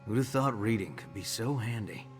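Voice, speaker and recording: Actor as a sardonic bookish grumbler in reverb. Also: male voice, fairly low pitch, lively intonation, moderate pace, natural voice. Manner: dry, sarcastic. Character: sardonic, grumbling bookish adventurer, reluctant researcher. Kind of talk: scripted video game dialogue. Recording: reverberant hall, game music underneath.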